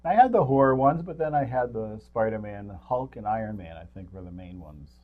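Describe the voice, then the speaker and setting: A man's voice: fairly low pitch, lively intonation, fairly quick. Middle-aged man, dull lo-fi recording. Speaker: co-host of a movie review show. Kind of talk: casual chat about films.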